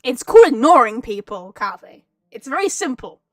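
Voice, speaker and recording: A woman's voice: sits high, sing-song, normal speed. A woman livestreamer giving casual, chatty game commentary, often repeating her phrases.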